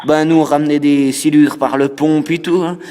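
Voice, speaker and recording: A man voicing a stubborn seventy-year-old country angler on a prank call, halting, repeating himself and rambling insistently. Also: a little high, steady tone, warm tone, natural voice. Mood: indignant, nostalgic. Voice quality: halting, repetitive speech. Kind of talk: prank phone call in character. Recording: phone prank with long reverb.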